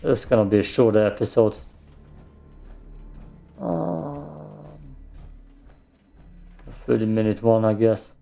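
A man's voice: medium pitch, takes it slowly, creaky, pauses often, dark tone. A man giving casual gameplay commentary, muttering to himself and given to swearing. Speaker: let's play streamer.

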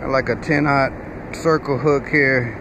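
A man talking casually while fishing on a windy beach, relaxed and joking. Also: mid-range voice, measured pace. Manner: laid-back, chatty, joking. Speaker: fishing vlogger. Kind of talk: casual outdoor vlog.

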